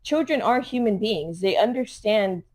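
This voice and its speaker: A woman from Los Angeles co-hosting a parenting podcast. She talks in a mid-pitched voice, earnest and conversational. Her speech is sprinkled with "like" and "you know.". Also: lively intonation, normal speed, darkish tone. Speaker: podcast co-host, mother. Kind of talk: casual chat.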